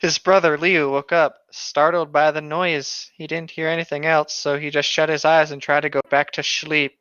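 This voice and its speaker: A man's voice: on the high side, little pitch movement, moderate pace, pushed and bright, smooth, no echo. A man co-hosting a casual read-along, reading story passages and joking, in slightly muffled audio. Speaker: co-host reading a story aloud.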